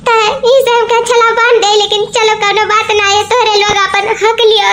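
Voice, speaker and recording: Voice doing a very high-pitched weepy Awadhi sister. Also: flat delivery, a little fast, bright, forceful tone. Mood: tearful. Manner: rambling, self-pitying complaints. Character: tearful young married woman missing her brother. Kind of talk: cartoon comedy voice acting.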